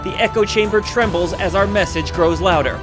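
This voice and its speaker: A man's voice: sits high, brisk. A man reading a scripted channel promo as a dramatic, grandiose announcer. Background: prominent music.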